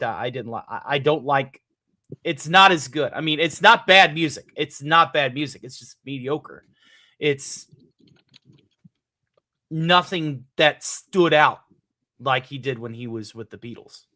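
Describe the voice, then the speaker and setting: A man's voice: mid-range voice, pushed and bright, no echo, studio quality. A man co-hosting a casual music podcast, wry and self-mocking, hesitating often. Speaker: podcast co-host. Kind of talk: casual chat about music.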